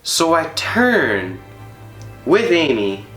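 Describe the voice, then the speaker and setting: A man telling a personal story to an audience, animated and emphatic, laughing as he talks. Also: on the high side, very slow, faint music behind. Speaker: storyteller on stage. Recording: roomy hall echo.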